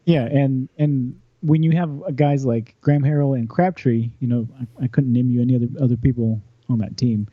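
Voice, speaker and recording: A man from Austin, Texas, in casual sports chat, halting and repeating words as he builds a point. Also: medium pitch, fairly even intonation, husky, dry recording. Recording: dull, dry lo-fi audio.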